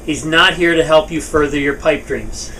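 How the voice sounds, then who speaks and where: A man's voice: on the high side, moderate pace, sharp tone. A man playing an angry, domineering shop boss with a scolding, sarcastic manner in a table read. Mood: stern, threatening. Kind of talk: table read of a film scene. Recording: distant mic, noisy room.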